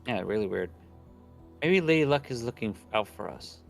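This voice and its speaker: A man's voice: on the low side, expressive, moderate pace, vocal fry. A young man casually reading visual novel narration aloud and chatting, stumbling over words now and then. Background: soft background game music.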